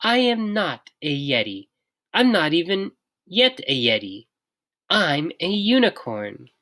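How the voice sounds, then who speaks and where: A storybook reader voicing a gentle, earnest young boy yeti who sounds plaintive and sincere. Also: mid-range voice, big pitch swings, slow, voice pushed up, full character voice. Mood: earnest, determined.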